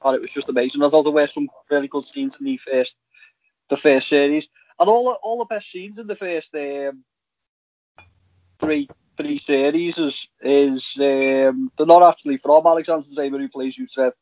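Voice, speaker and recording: A man in casual, halting podcast chat about TV, rambling as a co-host. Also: a little high, steady tone, a little mellow, no echo. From Liverpool, England. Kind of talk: casual chat reviewing TV shows. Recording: slightly dull, lo-fi audio.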